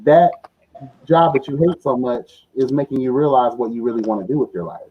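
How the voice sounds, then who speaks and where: A man's voice: mid-range voice, brisk. A man hosting a casual group interview, speaking in an encouraging, earnest, advice-giving way. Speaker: interview host. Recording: muffled, faintly noisy online call.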